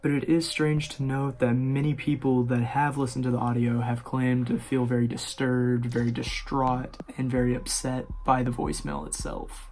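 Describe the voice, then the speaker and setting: A man's voice: medium pitch, little pitch movement, moderate pace, gravelly, few pauses, a little mellow, a little hiss or hum. A man hosting a scary-story video, narrating informally and speaking directly to his viewers. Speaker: horror story YouTuber, host.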